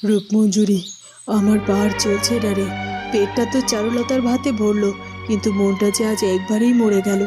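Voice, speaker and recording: A despairing fairy-tale noblewoman, voiced by a woman, laments in a medium-pitched voice. The delivery is melodramatic, with music clearly behind. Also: fairly even intonation, normal speed, close to an everyday voice. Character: despairing fallen noblewoman, a rejected mother. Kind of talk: melodramatic cartoon dialogue.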